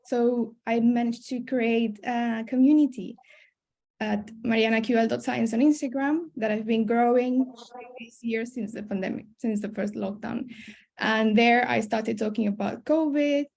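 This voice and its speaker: A woman's voice: fairly high pitch, fairly even intonation, unhurried, vocal fry, a little mellow. A young woman introducing herself as a panelist on a webinar. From born in Colombia, raised in Spain. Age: young adult. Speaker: PhD student and science communicator, panelist. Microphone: video call.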